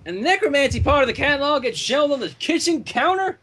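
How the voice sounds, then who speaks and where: A man's voice: very high voice, expressive, fast talker, fairly bright tone, pitched above the performer's own voice. A man voicing exasperated, sassy female cartoon ponies who bicker indignantly and sarcastically.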